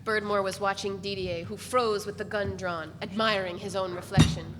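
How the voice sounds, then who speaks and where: A woman's voice: mid-range voice, steady tone, normal speed. A woman reading scripted first-person literary narration aloud at a live event. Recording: reverberant hall, noisy live recording.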